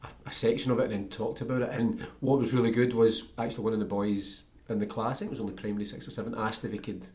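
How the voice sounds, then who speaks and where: A man's voice: lowish voice, level tone, rapid-fire, few pauses, darkish tone. A Scottish man hosting a casual, conversational book interview. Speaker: podcast host, interviewer.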